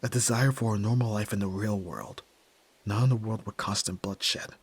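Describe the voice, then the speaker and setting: A man playing the sensitive, earnest young son of a crime boss in an ASMR roleplay, emotional and often hushed. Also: on the low side, moderate pace. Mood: pleading. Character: Yakuza boss's young son, sensitive, earnest, resolute nerd. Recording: clear background noise and ambience.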